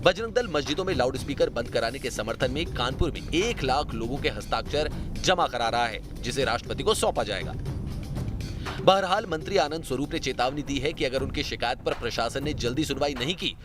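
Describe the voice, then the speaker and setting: A man delivers a scripted TV news voice-over in a dramatic style. His voice sits at an average pitch, with prominent music running underneath. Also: expressive, fairly quick. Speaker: TV news reporter doing voice-over. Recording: music behind the voice. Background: news music bed.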